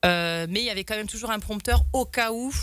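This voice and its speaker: A woman's voice: medium pitch, fast, fairly bright tone, no room sound. A young woman guest telling stories in a talk show, rambling with many fillers and restarts. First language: French. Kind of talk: casual storytelling in an interview.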